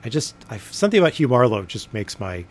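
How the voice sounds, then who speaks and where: Male co-host, mid pitch, dry and opinionated, conversational. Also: very animated, fast talker. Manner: wry, opinionated and dryly humorous. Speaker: podcast co-host. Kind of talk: casual podcast chat.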